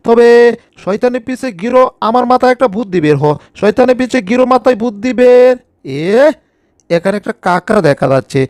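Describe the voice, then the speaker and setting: A high-voiced cartoon bulldog, played by a man, anxious but taking charge. He grumbles and scolds in exclamations. The sound is very dry. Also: expressive, brisk, a little mellow, no room sound. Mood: scheming. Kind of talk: cartoon fan dub.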